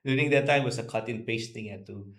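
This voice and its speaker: A man's voice: mid-range voice, fast, a bit bright. A Filipino-accented man in his fifties answering interview questions off the cuff, hesitating and repeating words as he goes. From Philippines. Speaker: car company executive being interviewed. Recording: roomy, echoey indoor sound.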